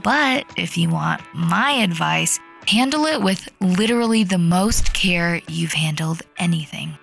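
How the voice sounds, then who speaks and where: A very creaky, wry bartender. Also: female voice, lowish voice, expressive, a little slow, fairly bright tone, faint music behind, exaggerated voice. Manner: casual, wry. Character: breezy, wry pub bartender and smuggling contact, woman. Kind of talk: modern audio drama acting.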